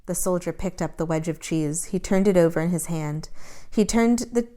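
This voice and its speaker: A woman reading a children's novel aloud as an expressive story narrator. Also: fairly low pitch, normal speed. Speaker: story reader.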